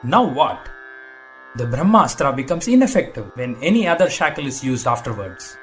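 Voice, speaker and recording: A man's voice: medium pitch, big pitch swings, moderate pace, lightly acted. Man acting a distraught demon prince, swooping intonation. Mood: distraught, frustrated. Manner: agitated inner monologue. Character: frustrated demon warrior prince, scheming and distraught. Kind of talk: dramatized devotional audiobook. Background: Carnatic instrumental music.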